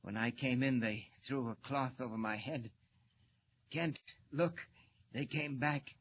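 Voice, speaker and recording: A man's voice: medium pitch, takes it slowly, natural voice. A man playing a courteous, slightly fussy inventor in a 1940s radio serial. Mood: dazed. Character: older male scientist, courteous inventor. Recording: dull old radio transcription with hiss.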